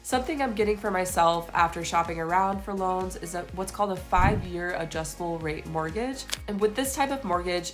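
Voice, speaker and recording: Young female agent, stuffy nasal voice from a cold, a bit low-pitched, bright presenter read over soft music. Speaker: real estate agent. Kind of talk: scripted market update to camera. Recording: faint music behind.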